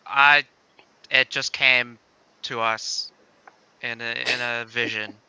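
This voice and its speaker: A man's voice: mid-range voice, fairly even intonation, slow pace, a crowd in the background. A man co-hosting a casual livestream, bantering in a playful, joking manner. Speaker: stream co-host.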